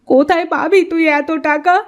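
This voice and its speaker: A woman's voice: very high voice, fairly even intonation, unhurried, voice pushed up. A woman voicing a poor village mother in a cartoon, with a plain motherly manner. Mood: worried.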